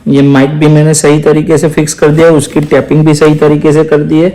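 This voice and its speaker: A man's voice: fairly high pitch, monotone, a little fast, warm tone, reverberant, low-quality recording. A man giving a casual hands-on tutorial, explaining step by step as he works and mixing in English phrases. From India. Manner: explains step by step while working. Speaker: moto vlogger giving a setup tutorial.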